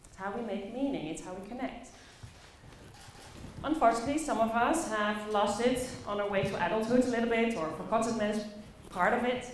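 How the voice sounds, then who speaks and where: A woman from the Netherlands with a Dutch accent, telling personal stories as a conference speaker, expressively and with dramatic pauses. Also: fairly high pitch. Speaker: conference speaker, play facilitator. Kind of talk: personal storytelling talk to a live audience. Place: echoey indoor conference room. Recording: very echoey room, faint background noise.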